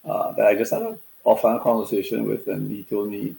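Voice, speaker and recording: A middle-aged man from Trinidad, a business consultant chatting as a guest on a video call in a relaxed, conversational way. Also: lowish voice, brisk, gravelly, slight background noise, poor audio. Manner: relaxed and conversational, many "you know" fillers. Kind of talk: casual interview chat over video call.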